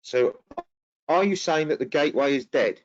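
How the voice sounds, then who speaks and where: A man's voice: a little high, moderate pace, lo-fi sound. A man hosting a webinar and reading out audience questions, briefly and with some hesitation. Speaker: webinar host.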